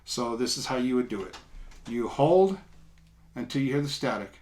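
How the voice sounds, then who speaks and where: A middle-aged man giving a casual, unscripted product demo, explaining step by step in an informal, halting way. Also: mid-range voice, lively intonation, very noisy. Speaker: product reviewer. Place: small indoor room, seated at a table.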